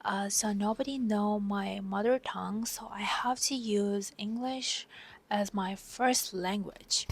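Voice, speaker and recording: A young Turkish woman speaks English in a soft, breathy near-whisper, pausing often with hesitant fillers. Her voice sits in an ordinary middle pitch for a woman, and she sounds like an exchange student sharing her story in a casual vlog. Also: steady tone. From Turkey. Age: young adult. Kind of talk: personal vlog monologue.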